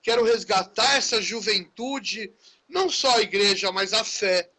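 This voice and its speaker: A man's voice: high-pitched, expressive, a little slow, pushed and bright. A man who is a teacher, hosting a live conversation in a chatty, friendly way. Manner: chatty, friendly, often tags phrases with "né". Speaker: teacher, live-stream host. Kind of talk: casual conversation in a live stream.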